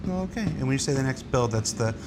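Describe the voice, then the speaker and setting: A man's voice: medium pitch, lively intonation, fast, background noise. A man hosting a live panel, casually reading out and following up on questions. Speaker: panel show host. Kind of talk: moderating a live question session.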